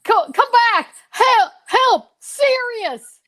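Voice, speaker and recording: A woman's voice: very high voice, animated, extremely slow, sharp tone, no echo, pitched above the performer's own voice, exaggerated voice. A woman playing a panicked man who cries for help in an amateur old-time radio play.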